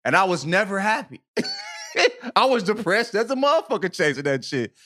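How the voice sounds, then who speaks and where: A man's voice: sits high, big pitch swings, moderate pace, fairly bright tone. An American man co-hosting a podcast with casual, slangy, profane and emphatic banter. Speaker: podcast co-host.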